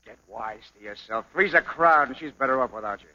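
An actor playing a cocky, jealous American showbiz dancer, sneering and slangy, in an old film drama. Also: male voice, mid-range voice, expressive, fairly quick, sharp tone, highs cut off. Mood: angry.

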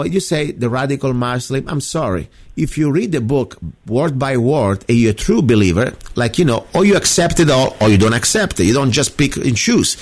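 A man's voice: mid-range voice, a little mellow. A man from Italy with an Italian accent, hosting a radio call-in show in an emphatic, repetitive way. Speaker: radio talk show host. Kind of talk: opinionated call-in chat.

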